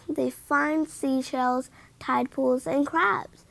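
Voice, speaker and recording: A woman reading a children's picture book aloud in an expressive, deliberate manner. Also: high voice, creaky. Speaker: read-aloud storyteller.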